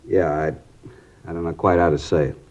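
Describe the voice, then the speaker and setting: An actor playing a distraught middle-aged father who talks in a halting, desperate, strained way, in a 1950s radio drama. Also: deep voice, fairly even intonation, darkish tone, lightly acted. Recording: dull lo-fi 1950s radio broadcast.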